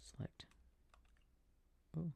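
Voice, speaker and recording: A man softly rambling to himself as he plays a video game for a sleep aid, often dropping into whispers, with a breathy, gravelly voice close to the mic. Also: very slow, no echo. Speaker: audio creator playing a video game. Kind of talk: soft rambling gameplay talk for a sleep aid. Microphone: close, dry microphone.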